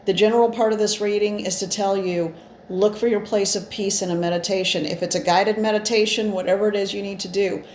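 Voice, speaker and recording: Gravelly-voiced woman in an echoey room. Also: medium pitch, steady tone, brisk. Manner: meandering, earnest, gentle encouragement. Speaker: spiritual channeler and card reader. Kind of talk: informal spiritual card reading to camera. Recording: echoey room with faint noise.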